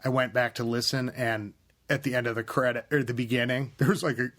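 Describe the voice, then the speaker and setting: A man's voice: mid-range voice, fairly even intonation, fairly quick, a bit bright. A man co-hosting a casual gaming podcast, rambling and restarting his sentences as he chats. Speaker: podcast co-host. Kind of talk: casual chat.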